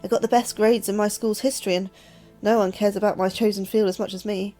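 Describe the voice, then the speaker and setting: An actress with a British accent playing an ambitious young astrobiologist in a sci-fi audio drama, earnest and slightly superior. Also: female voice, medium pitch, steady tone, fast talker, mellow, soft background music, lightly acted. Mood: self-assured. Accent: British English. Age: young adult. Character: ambitious, self-assured, anxious young woman astrobiologist.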